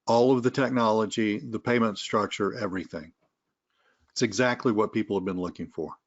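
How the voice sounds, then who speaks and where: A man co-hosting a webinar, talking through a sales pitch in a chatty, persuasive way with lots of fillers. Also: brisk. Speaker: webinar co-host. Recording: dull lo-fi webinar audio.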